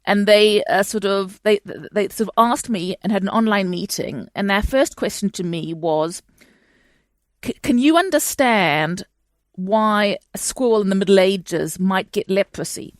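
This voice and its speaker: A chatty woman from Chile talks through her answers as a guest on a call, stopping and restarting often along the way. The sound is close and dry, with no room around her. Her pitch sits in the middle for a woman. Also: measured pace, dry recording. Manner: chatty, many fillers and restarts. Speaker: guest historian. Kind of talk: conversational interview answers. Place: home in London.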